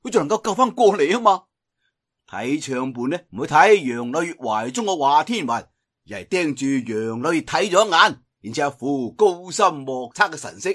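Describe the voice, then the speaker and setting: A man's voice: a little high, sing-song, normal speed, fairly bright tone. A man with a Cantonese accent telling a story in a dramatic traditional audiobook style.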